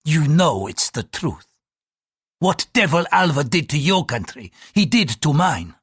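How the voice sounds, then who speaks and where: An audiobook reader voicing an irritable, fanatical Portuguese priest who speaks in an impatient, accusing, forceful way. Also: medium pitch, sing-song, unhurried, slightly rough, no echo, clean studio sound, voice pushed up. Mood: indignant, bitter.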